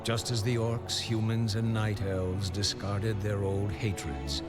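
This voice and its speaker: A man's voice: lowish voice, fairly even intonation. An actor playing a mysterious, wise old male prophet, narrating a game cinematic in a solemn, oracular way. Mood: solemn, serene. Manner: oracular, measured. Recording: loud music behind.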